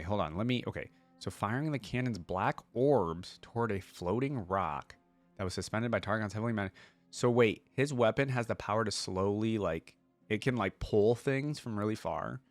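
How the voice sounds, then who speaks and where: A man's voice: fairly low pitch, dry recording. A man reading fantasy lore aloud and giving casual reaction commentary as a video creator.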